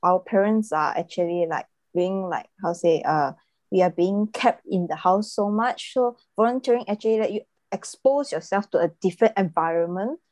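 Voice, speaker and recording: A woman's voice: mid-range voice, moderate pace, darkish tone, no room sound. Chatty young woman. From Malaysia. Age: 20s. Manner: chatty, fillers like "like" and "uh". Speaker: volunteer guest, recent graduate. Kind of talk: casual podcast discussion.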